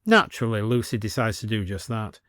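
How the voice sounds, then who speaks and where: A man reading a scripted story as an expressive storyteller with dry comic delivery. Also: fairly low pitch, brisk, dry recording.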